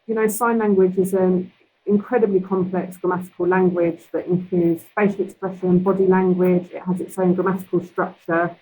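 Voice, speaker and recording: Deaf woman guest, Ukrainian accent, low husky mellow voice, measured delivery. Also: little pitch movement, low-quality recording. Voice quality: deaf speaker's voice. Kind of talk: interview answer.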